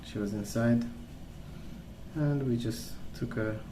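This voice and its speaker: A man speaking softly in a calm, gentle, unhurried way, rambling in a casual ASMR storytelling style. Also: medium pitch. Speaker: ASMR video creator. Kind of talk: soft-spoken ASMR rambling. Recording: roomy sound with clear background noise.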